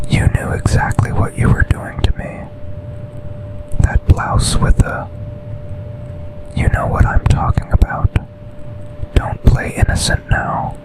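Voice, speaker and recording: A man playing an intense, tender office boss and secret lover in a breathy, intimate whispered ASMR boyfriend roleplay. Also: close to an everyday voice, rough recording. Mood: teasing, longing. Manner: slow, intimate, deliberate delivery with pauses.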